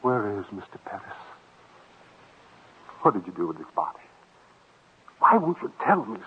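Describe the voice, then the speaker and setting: A man's voice: on the low side, very animated, moderate pace, breathy edge, heavily acted. An actor playing a nervous, weak-willed man, an accomplice under a woman's thumb, hesitant, stammering and pleading in 1940s radio drama style. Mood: frightened, anxious. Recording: muffled old-time radio recording.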